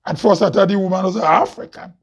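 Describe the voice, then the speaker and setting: A man from Grenada with a Grenadian accent and a loud, heavy voice, hosting an impassioned monologue full of rhetorical questions. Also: sits high, fast, husky. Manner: preachy, rhetorical questions, laughs often. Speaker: host. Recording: dull lo-fi recording.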